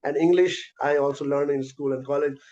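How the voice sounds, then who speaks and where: Fast-talking man. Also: fairly high pitch, fairly even intonation, dark tone, highs cut off. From South Asia. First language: Urdu. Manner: fast, hesitant, many filler sounds. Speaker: guest presenter. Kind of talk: answering questions in an online event. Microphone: video call.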